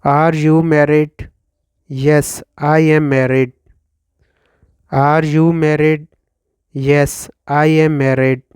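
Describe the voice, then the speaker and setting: Man in his thirties, Pakistani accent, Urdu first language, English lesson drill, very slow and clear, smooth voice, dry close sound. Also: on the high side, little pitch movement, a little mellow, dry recording. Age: 30s. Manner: slow, deliberate, repeats each line for learners. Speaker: English teacher. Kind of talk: slow scripted question-and-answer drill.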